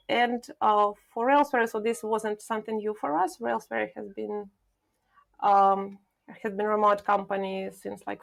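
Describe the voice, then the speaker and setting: Creaky-voiced woman. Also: mid-range voice. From Ukraine. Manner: thoughtful, with many filler sounds and restarts. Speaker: guest, product manager. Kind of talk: podcast interview.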